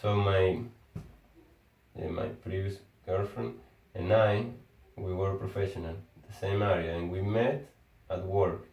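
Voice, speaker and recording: A Venezuelan man, a Spanish speaker and engineer, in casual conversation, talking haltingly and often restarting words as he searches for his phrasing. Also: deep voice, fairly even intonation, vocal fry, darkish tone. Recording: echoey room, far from the mic.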